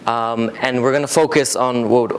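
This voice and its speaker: Male tech speaker, thirties, from Israel; mid-pitched, halting explanatory delivery, faint rumbling room noise. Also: steady tone. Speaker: conference speaker, cybersecurity company co-founder. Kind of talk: informal technical presentation. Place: indoor conference breakout room with seated audience.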